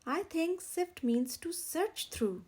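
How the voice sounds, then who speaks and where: Lady playing a careful turtle reading recipes in a kids story, high voice, takes it slow. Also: big pitch swings, darkish tone, heavily acted. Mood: patient. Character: careful turtle who reads recipes, patient storybook helper.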